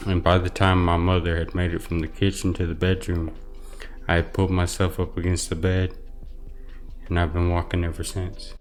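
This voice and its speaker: A man giving earnest personal testimony as an interview guest, speaking haltingly with some repeated words. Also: sits low. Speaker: interview guest, brain injury survivor.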